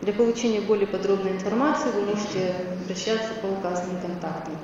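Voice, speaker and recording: A woman's voice: medium pitch, steady tone, fast talker. A woman giving a formal conference presentation, with a few hesitations. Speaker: conference speaker. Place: large conference hall. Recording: distant, echoey hall, dull, noisy.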